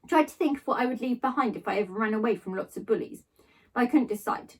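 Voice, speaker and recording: Woman, teacher reading aloud, higher pitch, expressive storytelling delivery, slightly distant microphone. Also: fast talker, slightly rough. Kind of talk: expressive children's story narration.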